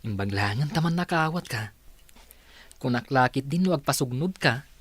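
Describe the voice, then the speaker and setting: A man's voice: mid-range voice, animated, moderate pace, no echo, natural voice. A man with an Ilocano accent playing a kind, patient young worker in a radio drama. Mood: apologetic.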